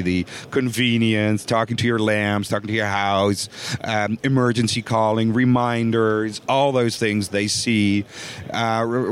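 A Dutch-accented man speaks as a podcast guest, at an ordinary male pitch. He talks earnestly but haltingly, with frequent fillers and restarts as he searches for words. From Netherlands. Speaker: guest, voice technology consultant. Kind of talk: podcast interview.

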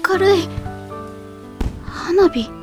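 A small, proud, childlike helper from a radio play, voiced by a woman. The voice is high, in a roomy space, with clear music behind it. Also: lively intonation, extremely slow, recorded from a distance, exaggerated voice. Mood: awed. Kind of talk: Japanese audio drama acting.

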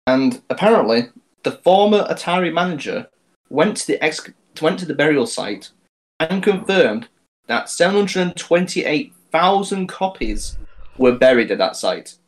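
A man co-hosting a casual podcast chat, talking haltingly and often restarting his sentences. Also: fairly high pitch, very animated, poor audio. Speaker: podcast co-host.

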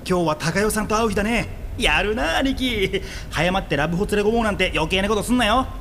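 A man playing a teasing kid brother in a radio drama. His voice is very high for a man and full of casual joking. It rings in long reverb with faint noise. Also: lively intonation, quick pace, a bit bright. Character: cheeky, teasing younger brother. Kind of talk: audio drama acting.

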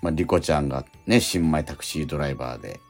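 A man hosting a radio show, talking and making announcements in a halting, deliberate way. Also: extremely low pitch, faint music behind. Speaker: radio show host and scriptwriter.

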